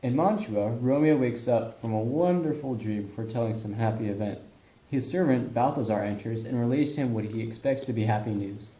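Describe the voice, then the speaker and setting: A man's voice: mid-range voice, fairly quick, dark tone. A man giving scripted narration for an amateur puppet show. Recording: roomy echo, faint music.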